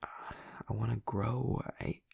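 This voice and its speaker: A man playing a gentle, earnest vampire boyfriend in an intimate audio roleplay, speaking softly and haltingly in a husky, breathy, hushed voice. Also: on the low side, steady tone, no room sound, heavily acted. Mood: earnest, resolute. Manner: halting, soft-spoken, confiding with long pauses. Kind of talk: intimate audio roleplay, ASMR-like soft delivery.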